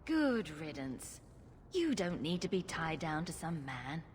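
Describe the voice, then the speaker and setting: An actress playing a confident, sarcastic heroine in a video game, speaking with dry, sardonic wit. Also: female voice, low-pitched, sing-song, unhurried, in a large hall, slight background noise. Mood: dry, probing.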